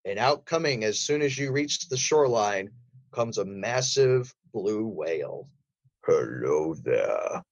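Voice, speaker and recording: A man's voice: mid-range voice, measured pace, dry recording. A man, a guest voice actor, narrating an improvised comic story.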